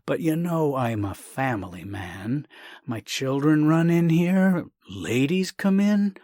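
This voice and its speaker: A man's voice: a little high, lively intonation, slow, a little mellow, dry recording, clean studio sound, voice pushed up. A man voicing a hesitant, embarrassed, proper family-man doctor in a comic story, fussy and hemming and hawing. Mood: disapproving. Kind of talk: acted reading of a comic short story.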